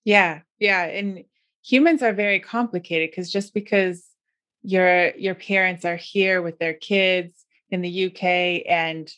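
A woman's voice: fairly low pitch, fairly even intonation, unhurried, a bit bright. A woman hosting a casual conversational interview in a chatty, agreeable way. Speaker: interviewer, host.

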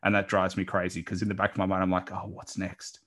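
A man in his late twenties hosts a casual podcast interview. His voice is deep and a little low, and he talks with upbeat energy and some self-deprecating humor. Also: completely flat delivery, quick pace, fairly bright tone. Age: late 20s. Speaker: podcast host.

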